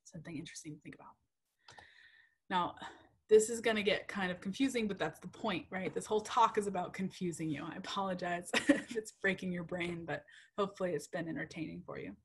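A woman's voice: on the low side, brisk, slightly rough. A young woman researcher giving a casual, chatty lecture over slides, with many ums, self-corrections and "right?" checks. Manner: uptalk and frequent "right?" checks. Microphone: computer mic on a video call.

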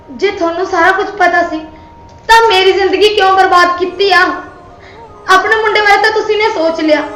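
A woman's voice: very high-pitched, fairly bright tone, a little hiss or hum. A young woman playing a wronged young wife who accuses her mother-in-law through tears. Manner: emotional, tearful pleading. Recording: roomy echo, faint music.

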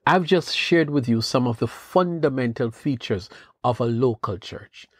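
Slow, husky-voiced man teaching scripture. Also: medium pitch, no echo, studio quality. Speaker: podcast host and Bible teacher. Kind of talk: scripted devotional talk.

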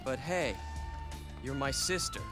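A man's voice: medium pitch, slow, natural voice. A man playing a protective, brash elder-brother warrior in a video game, with a hearty manner. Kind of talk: dubbed video game cutscene acting. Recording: game soundtrack music behind.

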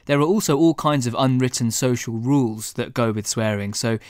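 A man's voice: mid-range voice, fairly quick, no room sound, studio quality. A man presenting a radio science program, conversational and easygoing. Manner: conversational, laughs easily.

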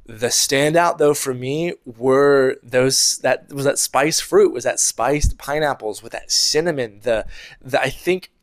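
A man's voice: mid-range voice, unhurried. A young man speaking to the camera with a lapel mic indoors, casually and animatedly reviewing a meal he ate. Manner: chatty, animated, with laughs and fillers. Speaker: producer giving a food review. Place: indoor room at home.

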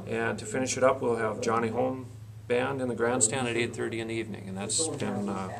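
Man in his forties, creaky gravelly voice, plain halting schedule read-out, indoor camcorder sound, voices in the background. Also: medium pitch, fairly even intonation, crowd noise, poor audio. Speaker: county fair board president, interview guest. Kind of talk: reading out an event schedule in a radio interview. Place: small indoor meeting room, seated at a table. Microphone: camcorder a short distance in front.

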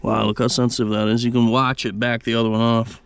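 A man's voice: medium pitch, fast talker, gravelly, smooth, no room sound. A man casually walking viewers through an engine repair step by step, as a mechanic would. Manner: relaxed, talks the viewer through each step. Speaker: mechanic restoring an old diesel engine.

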